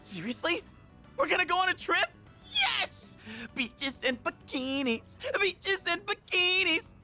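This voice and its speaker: A man's voice: very high voice, sing-song, slow pace, sharp tone, soft background music, exaggerated voice. An actor playing a goofy, girl-crazy teenage boy in a video game, slangy and exaggerated. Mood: overexcited. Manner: slangy, exaggerated, swinging between dreamy and whiny.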